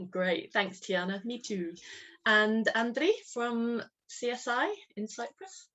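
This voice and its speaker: A woman's voice: fairly high pitch, slow pace, bright, forceful tone. A woman from England, hosting an online meeting in a chatty, friendly way, laughing often. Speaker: webinar host. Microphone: video call.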